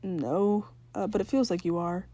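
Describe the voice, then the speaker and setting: A woman's voice: on the low side, moderate pace, dark tone, no room sound, close to an everyday voice. A woman voicing an awkward but casually confident teenage younger brother in a fan fiction reading. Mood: hesitant.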